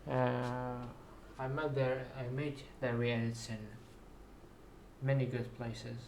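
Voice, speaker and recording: A man with a sore throat talking in a rambling, halting monologue to his viewers, often repeating words as he introduces his own writing. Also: mid-range voice, level tone, darkish tone, a little hiss or hum. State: sore throat, slight fever. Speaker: writer introducing and reading own story. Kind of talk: slow rambling video monologue.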